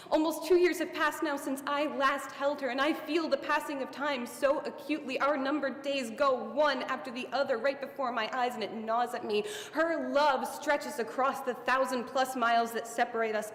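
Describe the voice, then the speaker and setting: A woman reading a heartfelt personal story aloud at a theater event, tender and slowing down on the emotional lines. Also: sits high, steady tone, a bit bright. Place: theater stage. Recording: echoey hall, faint ambience.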